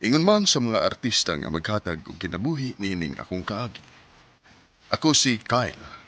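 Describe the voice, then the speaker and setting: A polite young storyteller opening his radio drama tale. His voice is slightly low and very lively in pitch, with a Cebuano Filipino accent. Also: normal speed. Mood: polite, earnest. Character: polite young male letter sender introducing his story.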